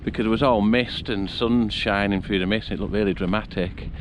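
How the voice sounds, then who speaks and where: A man's voice: lowish voice, fairly even intonation, a little fast, noisy background. A man, chesty after an illness, chatting and thinking aloud as he hikes up a mountain path. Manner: chatty, thinking aloud, coughs now and then. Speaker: hiker vlogger. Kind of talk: casual outdoor vlog narration.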